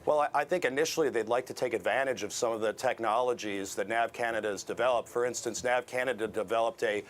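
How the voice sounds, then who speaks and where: An American-accented man speaks as an expert news guest at an average pitch. His explanations come haltingly, with small hesitations, over faint background noise.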